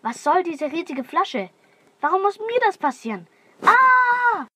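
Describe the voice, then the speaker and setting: Teenage boy, voiced by a woman: high-pitched, flustered, indignant exclamations for a children's audio story. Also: very animated, measured pace, heavy background noise, heavily acted. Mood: panicked. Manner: exclaiming, bewildered. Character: panicky, indignant fifteen-year-old boy. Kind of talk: children's radio play acting.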